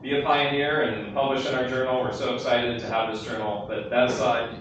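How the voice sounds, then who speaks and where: A man speaking at a conference in a large hall, heard from some distance over a patchy handheld mic. He talks with emphatic enthusiasm and keeps repeating phrases for stress. The room sounds very echoey and carries a steady noise. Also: medium pitch, a little fast. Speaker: conference co-presenter. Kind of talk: conference closing remarks. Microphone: handheld mic that keeps cutting out.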